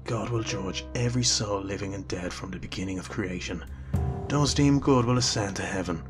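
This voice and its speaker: A man's voice: fairly low pitch, normal speed, over music, natural voice. A man playing a grave, theatrical devil in a radio drama, prone to dramatic speeches of doom. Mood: grave, ominous. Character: male devil, dramatic doom-preaching lover of an angel.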